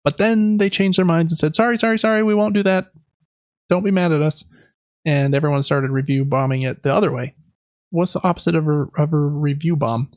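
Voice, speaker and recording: A man's voice: a little high, a little fast, a little mellow, no room sound, lo-fi sound. A man co-hosting a podcast, chatting casually through gaming news in an informal way with self-correcting asides, close to the microphone. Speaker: podcast co-host.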